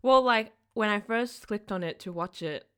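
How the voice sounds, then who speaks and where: A woman's voice: mid-range voice, normal speed, a bit bright, clean tone. A young woman hosting a casual interview chat in an informal way. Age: young adult. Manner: informal, giggly. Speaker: podcast host.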